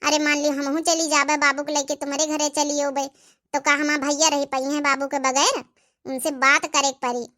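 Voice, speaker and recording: Cartoon village sister, young, dutiful and fretful: piercingly high, bright, Awadhi-accented female performer. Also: little pitch movement, normal speed. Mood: troubled, earnest. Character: young village sister, dutiful, caring, worried for baby nephew. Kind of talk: family drama cartoon dialogue.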